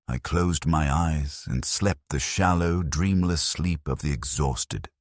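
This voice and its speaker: A man reading a scripted first-person story as a narrator, in a somber, measured way. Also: very deep voice, no echo, clean studio sound.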